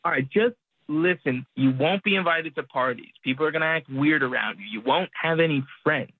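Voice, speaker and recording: A man's voice: on the high side, a little fast, dry recording. Male caller on a narrow phone line. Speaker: caller on a radio show. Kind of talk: casual, earnest phone conversation. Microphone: phone call.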